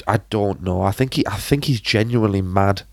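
A man's voice: fairly low pitch, brisk, vocal fry, darkish tone, no echo. A British man co-hosting a casual film podcast, bluntly opinionated with a dry, sarcastic streak. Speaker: podcast co-host.

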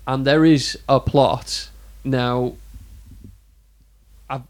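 A man's voice: medium pitch, fairly even intonation, drawn-out words. A man co-hosting a podcast, chatting casually about films with informal, hesitant phrasing. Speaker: podcast co-host. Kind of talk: casual film chat.

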